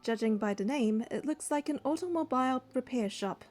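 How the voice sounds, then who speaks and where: Woman playing an earnest young heroine, higher-pitched. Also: brisk, darkish tone, faint music behind, lightly acted. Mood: measured, observant. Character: young female heroine, earnest, caring and curious. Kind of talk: first-person narration and dialogue in a romance game.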